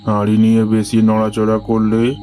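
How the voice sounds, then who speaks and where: A man's voice: fairly low pitch, completely flat delivery, moderate pace, mellow, soft background music, natural voice. A man playing a joking, bossy village toddy maker in a cartoon ghost story. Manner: bossy, jokey.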